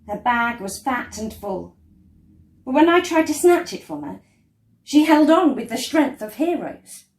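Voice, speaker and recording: A woman reads a children's story aloud expressively, with deliberate phrasing and dramatic pauses. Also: high voice, sing-song. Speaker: storyteller reading a picture book. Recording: roomy, echoey indoor sound.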